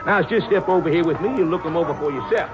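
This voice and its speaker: An actor playing a smooth-talking, evasive male sideshow showman with grandiloquent speech, in an old-time radio drama. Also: a little high, fast, dull audio, music in the background, lightly acted. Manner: grandiloquent, with a hesitant uh.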